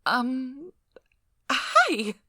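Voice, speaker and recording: A woman's voice: sits high, huge pitch swings, extremely slow, sharp tone, no room sound, studio quality, full character voice. A woman playing a nervous, eager researcher in an ASMR roleplay, rambling in a flustered, apologetic way. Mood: sheepish, apologetic. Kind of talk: ASMR roleplay audio drama.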